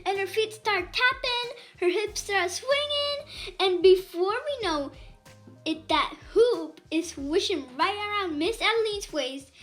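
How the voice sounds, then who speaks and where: A woman reading a children's picture book aloud in an expressive, animated way with dramatic pauses and emphasis. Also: extremely high pitch, faint music behind. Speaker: read-aloud storyteller.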